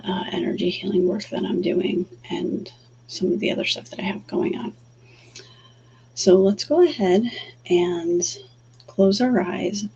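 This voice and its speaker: A woman hosting a livestream who talks softly and calmly, pausing often with fillers, before leading a guided breathing exercise. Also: mid-range voice, vocal fry. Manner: soft, calm, halting with many fillers. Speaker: livestream host and energy healer. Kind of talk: casual livestream chat, then guided breathing. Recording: dull lo-fi stream audio with faint hum.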